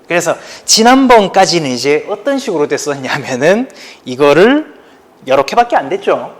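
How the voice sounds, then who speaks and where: A man with a Daegu accent, teaching a high school history class online in a relaxed, chatty way. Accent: Gyeongsang (Daegu) Korean. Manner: chatty, informal, talks to students directly. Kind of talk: casual online lecture.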